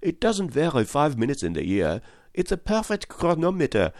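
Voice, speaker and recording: A man reading an audiobook voices an excitable, voluble French manservant who talks fast and stubbornly. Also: fairly high pitch, dry recording, studio quality, pitched above the performer's own voice. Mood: indignant.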